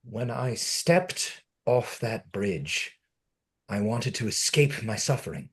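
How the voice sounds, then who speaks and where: A man acting a melancholy, dry-witted man who has been dead over a century, in a deliberate, brooding table-read delivery. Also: medium pitch, big pitch swings, a bit bright, breathy edge, heavily acted. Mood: earnest, intense.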